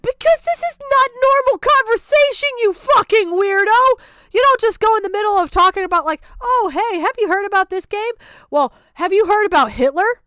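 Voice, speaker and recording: Female livestream commentator, high smooth voice, close dry sound, exasperated and sarcastic, swears freely, unscripted. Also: lively intonation, moderate pace, fairly bright tone, no echo. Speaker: streamer reacting to a video.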